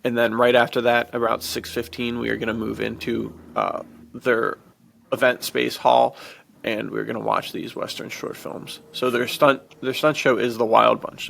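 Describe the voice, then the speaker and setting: A man hosting a podcast in casual chat, informal and enthusiastic, with a gravelly, fry-heavy voice. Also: mid-range voice, level tone, normal speed, fairly bright tone, a little hiss or hum. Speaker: podcast host.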